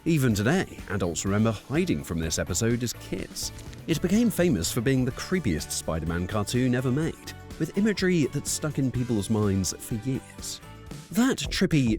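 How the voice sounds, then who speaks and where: A man's voice: mid-range voice, a little mellow. A man reading scripted narration for a countdown video. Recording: music under the voice.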